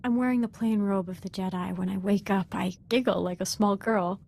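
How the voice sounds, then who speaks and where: A woman's voice: mid-range voice, fairly even intonation, fairly quick, vocal fry, a little mellow, slight background noise, natural voice. A young woman acting as a devoted, fervent Sith apprentice in a video game, speaking in an earnest, deferential and articulate way.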